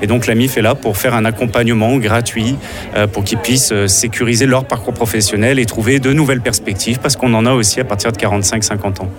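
A man's voice: medium pitch, fairly even intonation, fast talker. A man who directs a job-support organization, explaining its work fluently and with assurance in an interview. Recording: music playing underneath.

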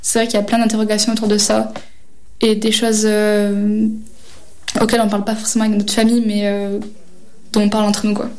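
A woman's voice: mid-range voice, monotone, a little fast, long pauses, darkish tone. A teenage girl speaking reflectively in an interview, hesitating often with fillers as she gathers her thoughts. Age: teens. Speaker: high school student interviewee. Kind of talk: reflective testimony.

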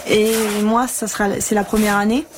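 A woman's voice: medium pitch, steady tone, moderate pace, background noise. A woman in her first season as a shepherd, chatting in an easygoing, colloquial way out on a mountain pasture. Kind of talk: casual chat outdoors while herding.

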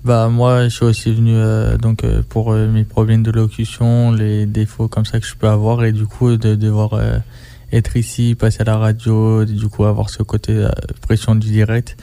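A young man's voice, dark and gravelly, that barely moves in pitch. He is a radio trainee, talking haltingly and filling pauses as he goes. The sound is dry and close. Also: monotone, no echo. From France. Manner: halting, many fillers. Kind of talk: radio testimony.

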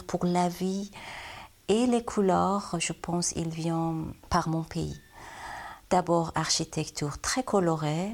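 Iranian woman artist, low pitch, talks slow, hunts for words a lot. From Iran. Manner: halting non-native French, searching for words. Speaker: watercolor painter. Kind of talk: interview answers.

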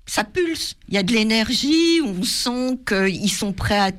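A woman's voice: a little high, expressive, a little slow, a bit bright. A woman guest speaks with enthusiasm about a film. Her voice climbs and drops a lot as she describes the scenes that moved her. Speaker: guest reviewer. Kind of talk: casual film review chat.

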